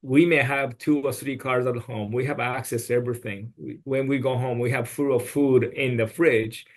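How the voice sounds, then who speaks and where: Brief: male podcast guest, phrasing, sincere and advice-giving, at a middle pitch. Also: steady tone, moderate pace. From Japan. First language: Japanese. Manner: earnest, advice-giving, with non-native phrasing. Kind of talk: podcast conversation.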